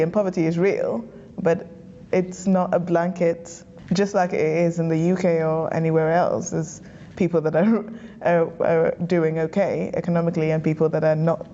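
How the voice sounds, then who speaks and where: Young woman from Ghana, interview guest, mellow low-ish voice, chatty and quick to laugh, slightly dull recording. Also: flat delivery. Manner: chatty, laughs easily, frequent small restarts. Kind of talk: relaxed personal storytelling.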